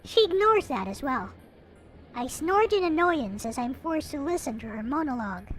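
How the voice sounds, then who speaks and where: High, animated woman reading aloud. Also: normal speed. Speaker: host reading a visual novel aloud. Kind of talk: expressive read-aloud with casual asides. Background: background music.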